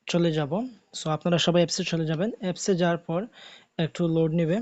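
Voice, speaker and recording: A man's voice: high-pitched, a little mellow, no room sound, dull audio. A man narrating a how-to video as a tech host, in repetitive, instructional step-by-step phrasing.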